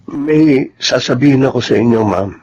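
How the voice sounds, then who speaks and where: A man acting a loyal, earnest family driver in a Filipino radio drama, soft-spoken and respectful. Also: medium pitch, normal speed, highs cut off, lightly acted. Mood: confiding.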